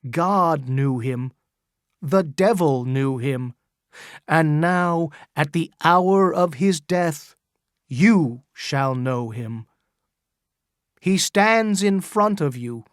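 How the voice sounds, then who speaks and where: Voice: medium pitch, slow pace, dry recording, clean studio sound, natural voice. A storyteller voicing a dying young male minister who speaks in a solemn, declaiming way. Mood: proclaiming.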